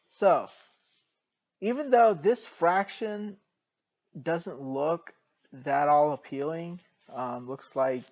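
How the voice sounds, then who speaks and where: A soft-spoken man with a slightly high voice walks through a math problem at a slow, careful pace and slips in dry jokes. The recording sounds dull and lo-fi, like a basic home lesson video. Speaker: math teacher.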